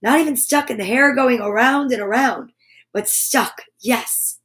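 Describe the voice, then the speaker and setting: Female children's storyteller, high voice, unhurried read-aloud with playful sound effects. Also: fairly bright tone. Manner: playful, drawn-out sound effects and repeated words. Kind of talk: animated picture-book read-aloud for children.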